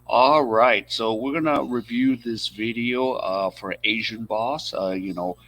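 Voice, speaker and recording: A man's voice: mid-range voice, a little slow, fairly bright tone, a little hiss or hum, rough recording. A male host giving loose, casual commentary on a video. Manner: laid-back, loose with fillers.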